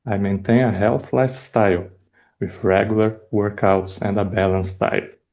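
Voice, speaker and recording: A young man from Brazil with a Brazilian Portuguese accent, speaking English as a second language, giving a prepared icebreaker speech with measured pauses. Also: fairly low pitch, fairly even intonation, darkish tone, highs cut off. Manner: measured, deliberate delivery with pauses. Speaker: first-time club speaker.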